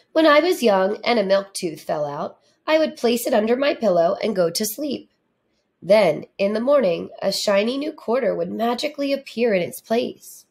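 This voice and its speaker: A woman reading a storybook aloud for children in a deliberate, expressive manner. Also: mid-range voice, gravelly. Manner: deliberate, animated delivery. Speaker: storybook reader, teacher.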